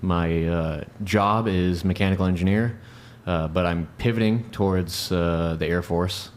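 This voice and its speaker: A man in his late twenties introducing himself earnestly, with measured pauses. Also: low-pitched, a little hiss or hum. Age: 20s. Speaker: panel guest.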